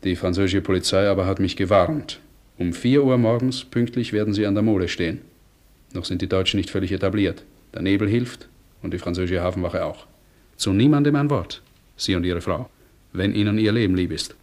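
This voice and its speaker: An actor playing a brisk, discreet British naval commander in a German radio play, businesslike and dryly urbane. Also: male voice, sits low, a little mellow, natural voice. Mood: brisk, conspiratorial.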